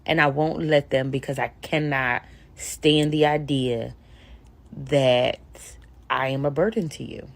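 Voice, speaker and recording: Woman, 30s-40s, low gravelly voice, slow emphatic confession. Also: fairly even intonation. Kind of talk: confessional vlog.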